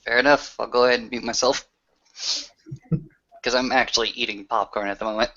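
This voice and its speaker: Man, game master, halting casual narration, muffled lo-fi voice call, middling pitch. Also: steady tone, pushed and bright. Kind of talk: tabletop roleplay narration, casual.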